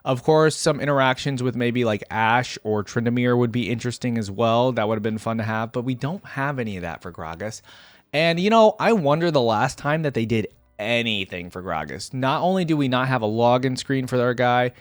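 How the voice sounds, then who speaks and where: A man's voice: medium pitch, animated, fairly quick, dry recording. A young man giving casual reaction commentary, thinking aloud and addressing viewers in a musing, tentative way. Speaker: reaction video host.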